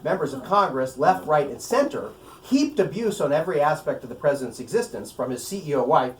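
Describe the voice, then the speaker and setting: A man's voice: on the high side, animated, fairly quick. A man giving a live reading from his own novel as its author, breaking off for wry explanatory asides. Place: room at a live bookstore event. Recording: roomy, distant mic, faint noise.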